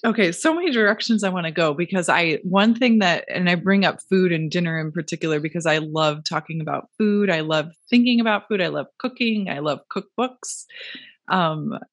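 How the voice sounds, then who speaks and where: A woman's voice: lowish voice, moderate pace, dry recording, lo-fi sound. Friendly woman podcast host. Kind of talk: casual interview chat.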